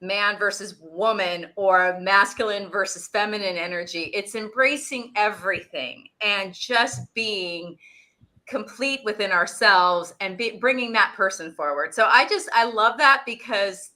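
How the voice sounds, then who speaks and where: Bright-voiced woman thanking the panel. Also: mid-range voice, unhurried, poor audio. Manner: warm, grateful, laughs softly between points. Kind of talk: appreciative panel response.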